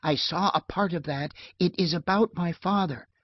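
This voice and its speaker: A man's voice: on the high side, normal speed, pitched above the performer's own voice, close to an everyday voice. A man reading an audiobook, voicing a tired, sad young woman who speaks wearily and turns indignant. The recording is dry and slightly dull.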